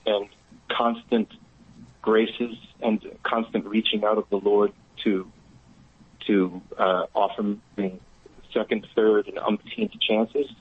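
Guy calling radio on a tinny phone, kinda husky, thoughtful, stop-and-start talking. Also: fairly low pitch, flat delivery, slow pace. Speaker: phone-in caller. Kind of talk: thoughtful conversational talk. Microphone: telephone. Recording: muffled, narrow phone line.

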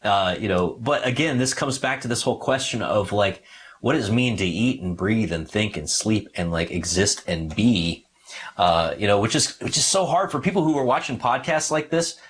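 A man with a lowish, husky voice chats as a podcast host. His delivery is casual and informal, wandering into asides and pausing to find his words. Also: animated. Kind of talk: casual discussion.

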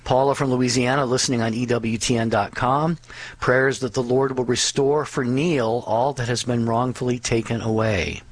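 A man's voice: medium pitch, fairly even intonation, normal speed, no echo. A man hosting a religious call-in radio show, speaking in an earnest, reassuring way. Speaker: radio host.

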